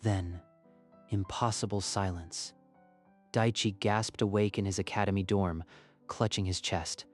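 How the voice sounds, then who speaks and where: Lowish-voiced man narrating dramatically. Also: fairly even intonation, unhurried, faint music behind. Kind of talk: dramatic scripted story narration.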